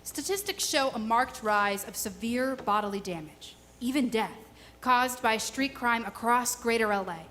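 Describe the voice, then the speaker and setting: A woman's voice: fairly high pitch, normal speed, sharp tone. A woman playing a businesslike, probing news interviewer in a radio drama. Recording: live hall reverb, faint noise.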